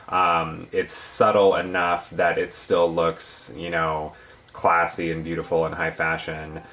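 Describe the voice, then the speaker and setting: A man co-hosting a review channel, chatting casually in a measured, appreciative, conversational way about a product. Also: deep voice, a bit bright. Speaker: doll review channel co-host.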